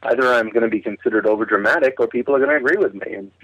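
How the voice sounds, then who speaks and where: A man talks emphatically and with opinions in a casual expert discussion. His mid-pitched voice comes through muffled, like a phone call. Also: racing, a bit bright, no echo. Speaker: guest audio drama expert.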